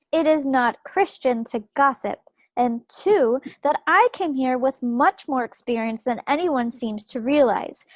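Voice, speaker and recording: Woman acting young wartime railroad wife, high voice, dry sound, formal, indignant, firm, stage play reading. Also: dry recording. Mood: firm, defiant. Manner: formal, firm, articulate self-defence. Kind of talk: one-act play table read. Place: indoors, remote video call read. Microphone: video call.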